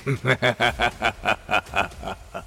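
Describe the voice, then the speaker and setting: A man's voice: lowish voice, steady tone, drawn-out words, gravelly, sharp tone, faint music behind, heavily acted. A man playing a smug, menacing criminal rival with a drawling, mock-friendly manner in a comedic crime audio drama. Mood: mock-friendly menace.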